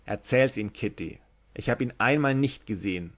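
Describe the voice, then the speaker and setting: A man's voice: on the low side, normal speed, lightly acted. A man reading the lines of a blustering, cursing old sailor in a German audiobook. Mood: gruff. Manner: blustering, curses.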